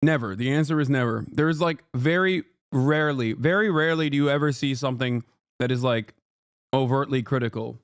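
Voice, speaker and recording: Male live streamer, mid-pitched and smooth, sardonic rhetorical delivery, casual political commentary. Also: fairly quick, no echo, clean studio sound.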